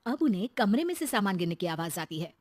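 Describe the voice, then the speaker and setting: A woman's voice: medium pitch, sing-song, fast, heavy background noise. A woman reading scripted narration for a children's story as the storyteller.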